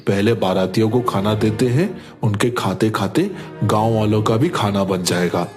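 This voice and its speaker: A man voicing a calm, reassuring young village cook in a cartoon, polite and assured. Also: lowish voice, normal speed, close to an everyday voice. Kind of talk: Hindi cartoon story dialogue. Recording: background music under voice.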